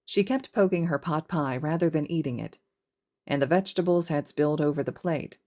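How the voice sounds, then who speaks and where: A woman's voice: sits low, brisk, warm tone. A woman reading a scripted story aloud as a fiction narrator. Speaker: podfic narrator.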